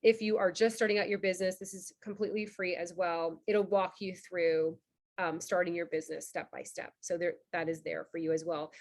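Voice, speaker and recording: A woman from Cuba hosting an online member orientation, talking fast and chatty with many fillers over a video call. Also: fairly low pitch, fairly even intonation. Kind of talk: casual online presentation.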